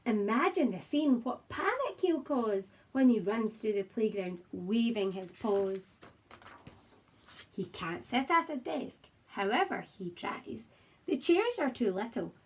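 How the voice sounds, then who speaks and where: Female primary teacher, highish and a little husky, expressive storytime read-aloud for young children, encouraging and simply worded. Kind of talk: children's picture book read-aloud with chatty asides to young viewers. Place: child's room at home, indoors.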